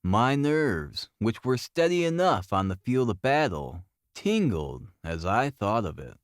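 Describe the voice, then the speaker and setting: A man's voice: fairly low pitch, big pitch swings, measured pace, no echo, studio quality. A man reading a classic detective novel aloud as a scripted, dramatic audiobook narration.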